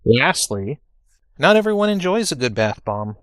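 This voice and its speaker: A man reading a scripted, humorous first-person story in a dryly formal, measured way. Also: a little high, sing-song, a bit bright, dry recording, clean studio sound. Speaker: storyteller, narrator.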